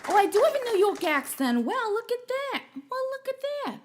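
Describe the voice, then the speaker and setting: A woman's voice: extremely high pitch, sing-song, normal speed, a faint crowd, slight background noise. A woman hosting a livestream concert, playful and rambling with jokey asides. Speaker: host and singer.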